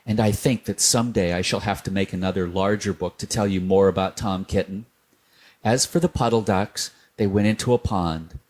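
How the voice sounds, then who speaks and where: A man reading a children's storybook aloud, gentle and unhurried. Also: sits low.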